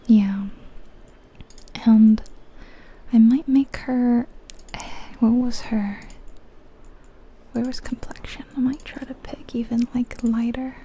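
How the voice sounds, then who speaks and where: A woman whispering breathily in an ASMR style, musing aloud in an unhurried, soft way as she comments on a game. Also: a little high, steady tone, a little hiss or hum. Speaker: ASMR video creator.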